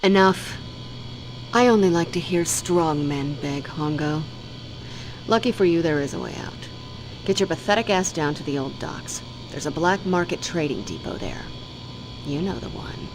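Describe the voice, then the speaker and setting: A woman's voice: lowish voice, animated, normal speed, music in the background, lightly acted, rough recording. An actress playing a cruel, domineering female crime boss who talks with menacing contempt. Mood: menacing scorn.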